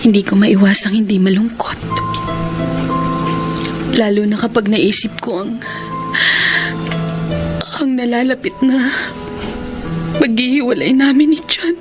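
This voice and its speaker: A woman's voice: fairly high pitch, fairly even intonation, unhurried, pauses often, lightly acted. A woman acting a loving, self-sacrificing, heartbroken girlfriend in a sentimental radio drama, earnest, tender and plaintive. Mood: sad, resigned. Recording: radio drama with music underneath.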